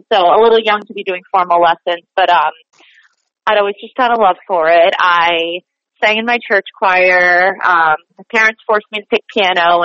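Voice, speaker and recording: A woman's voice: mid-range voice, fairly bright tone, no room sound. A young woman from Boston, a stage performer, chatting as an interview guest with easy laughs and plenty of fillers. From Boston, USA. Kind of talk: casual interview chat. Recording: dry, slightly dull podcast audio.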